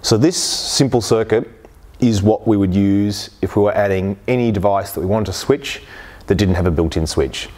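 A man's voice: lowish voice, normal speed, darkish tone. A man in his forties giving a how-to demonstration in a plain, practical, step-by-step way. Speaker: host of boat repair channel. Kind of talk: how-to demonstration, explaining steps. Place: workshop garage, indoors.

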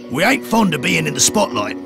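Gravelly, high-pitched Cockney woodlouse. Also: male voice, very animated, fairly quick, over music. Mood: gleefully crude. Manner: cheeky, matey, unrefined. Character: cheeky, uncouth, soft-hearted male woodlouse. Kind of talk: children's cartoon character narration.

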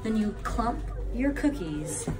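A woman's voice: on the high side, sing-song, slow. A young American woman hosting a casual vlog, bantering and teasing her friends. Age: young adult. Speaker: vlog host filming friends. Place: home kitchen. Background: loud music.

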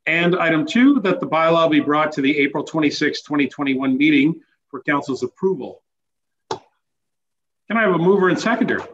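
A man's voice: on the high side, normal speed, fairly bright tone. Man reading a motion in an echoey room. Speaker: mayor. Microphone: video call. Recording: echoey muffled video call with faint noise.

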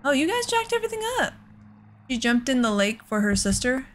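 A woman's voice: sits high, big pitch swings, brisk, a little hiss or hum. A woman giving casual let's play commentary, thinking aloud in an exasperated way. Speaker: gaming host.

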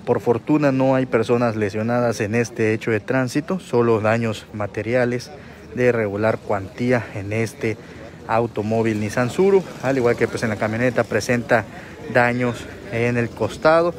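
A man's voice: mid-range voice, moderate pace. A man reporting live from a street intersection, talking informally with many filler words. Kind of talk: live on-scene news report. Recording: faint outdoor street noise. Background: street and traffic sounds.

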